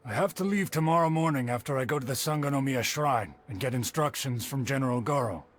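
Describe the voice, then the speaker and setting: An actor playing a composed, authoritative caravan leader in a video game, brisk and commanding. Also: male voice, mid-range voice, lightly acted. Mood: businesslike. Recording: music behind.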